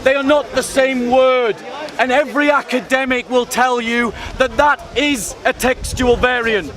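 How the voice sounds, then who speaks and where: A man debating in public outdoors, insistent and lecturing, pressing and repeating his points. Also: very high-pitched. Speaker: street debater. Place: outdoor public speaking park with a crowd. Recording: outdoor crowd noise.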